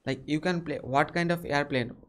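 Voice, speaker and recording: A man's voice: fairly high pitch, normal speed, a bit bright. Inquisitive young Indian man. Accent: Indian English. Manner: inquisitive, asks many short questions. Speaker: video chat host. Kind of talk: casual chat with strangers. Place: small bedroom.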